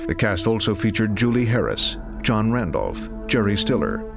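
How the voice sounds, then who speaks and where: A man's voice: lowish voice, sing-song, moderate pace, gravelly. A man working as a radio drama announcer, reading scripted credits in a formal style. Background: loud music.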